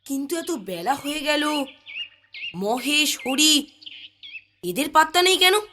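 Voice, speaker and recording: A woman's voice: sits high, animated, takes it slowly, noisy background. A woman voicing a grumbling, complaining young village child in a children's cartoon.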